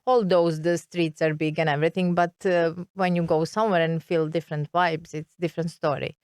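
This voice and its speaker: A woman's voice: deep voice, flat delivery, a little fast, clear, resonant tone, dry recording, clean studio sound. A middle-aged woman from Serbia with a Serbian accent, a guest in an interview, talking hesitantly and searching for words. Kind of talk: interview conversation.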